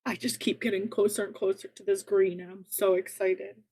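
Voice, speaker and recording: A woman guest chatting eagerly about crochet during a relaxed livestream. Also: medium pitch, brisk, husky, no room sound. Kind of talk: casual crochet chat on a livestream.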